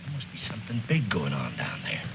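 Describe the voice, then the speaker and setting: A man's voice: sits low, wildly swooping pitch, a little fast, gravelly, fairly bright tone, full character voice. An actor playing a blunt, down-to-earth male soldier with a laconic manner, as in a 1950s war film. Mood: alert. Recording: noisy, muffled old film soundtrack.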